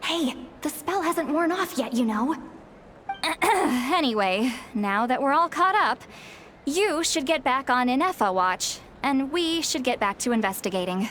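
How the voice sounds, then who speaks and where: Wry, shrewd, high-voiced granny. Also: female voice, animated, a little slow, a bit bright, slight background noise. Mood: wry, warning. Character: wry, shrewd self-styled granny, guarded yet caring. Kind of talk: video game voice acting.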